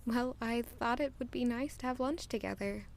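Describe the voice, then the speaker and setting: A woman in an audio drama playing a caring young maid, speaking gently and earnestly to reassure. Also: a little high, moderate pace, gravelly, a little hiss or hum.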